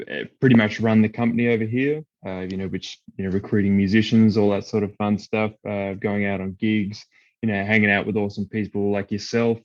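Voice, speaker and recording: A man's voice: on the low side, dry recording, highs cut off. A man with an Australian accent, a guest on a podcast, chatting in an easygoing way with lots of fillers. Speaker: guest, band company manager. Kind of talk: casual podcast chat.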